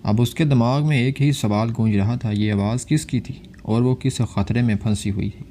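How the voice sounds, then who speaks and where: A man with a dark, mellow voice narrates a suspense thriller. His delivery is that of a scripted storyteller, with a relaxed, soft-edged tone at a medium pitch. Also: moderate pace, a little hiss or hum.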